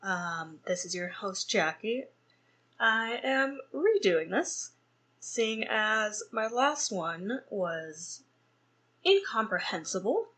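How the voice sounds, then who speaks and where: Young female podcast host, rambling casual delivery, self-deprecating laughs, medium pitch. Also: sing-song, slow pace, a bit bright. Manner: rambling, many fillers and restarts; laughs at herself. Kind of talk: solo podcast review.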